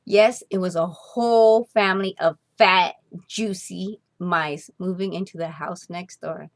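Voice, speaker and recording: A woman's voice: on the low side, fairly even intonation, measured pace. Woman expressively reading a children's story. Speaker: storybook reader.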